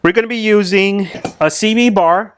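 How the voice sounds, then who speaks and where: A man with a slightly high-pitched voice hosts a do-it-yourself vehicle video. He explains each step casually and conversationally, as if chatting with fellow hobbyists. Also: normal speed, slight background noise. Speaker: host of an off-road vehicle channel. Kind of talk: casual install tutorial to camera.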